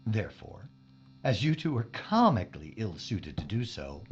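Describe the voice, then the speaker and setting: A man acting a stiff, evasive spaceship scientist in a science fiction audio drama, formal, pompous and defensive, with a husky voice. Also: fairly low pitch, sing-song, moderate pace, a little mellow, muffled recording, full character voice. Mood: condescending. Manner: pompous, formal, defensive, stammering when pressed.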